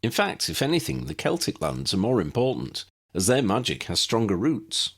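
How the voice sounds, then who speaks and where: A man's voice: mid-range voice, very animated, normal speed, creaky, husky, pitched above the performer's own voice, full character voice. A man voicing an earnest young male wizard in an audiobook, explaining things patiently. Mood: earnest, explanatory. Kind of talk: audiobook character dialogue.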